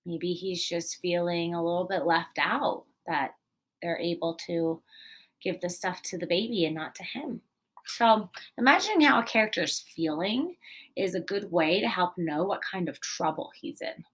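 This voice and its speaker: A woman reading a storybook aloud as a teacher, expressive and explanatory, putting guiding questions to young children. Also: lowish voice, moderate pace.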